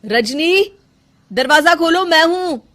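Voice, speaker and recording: A woman's voice: very high-pitched, animated, slow pace, fairly bright tone, pitched above the performer's own voice, full character voice. A woman voicing a concerned, caring young husband who speaks earnestly and insistently in a cartoon story. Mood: stern concern.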